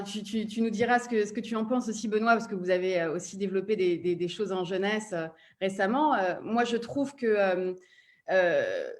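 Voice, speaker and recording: A woman with a mid-pitched voice speaks as a panelist in a conversational discussion. She thinks aloud, doubling back on small words as she builds her explanation. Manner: hesitant, repeats words while thinking aloud. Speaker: panelist, audio fiction publisher.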